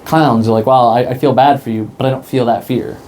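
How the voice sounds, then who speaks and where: A man's voice: mid-range voice, steady tone, brisk, darkish tone. A man speaking casually as a creative guest in a radio interview.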